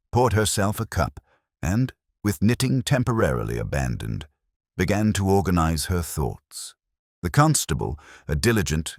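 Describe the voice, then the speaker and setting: A man narrating a scripted mystery story as an audiobook, in a measured, formal storytelling manner. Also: rumbling low, dry recording, clean studio sound.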